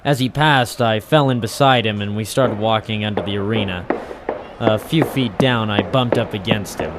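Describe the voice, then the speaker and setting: An actor playing a world-weary American private eye in 1940s radio noir, clipped, sardonic and deadpan. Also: male voice, on the low side, moderate pace, over music, natural voice. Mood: cynical narration. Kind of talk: 1940s radio noir acting and first-person narration.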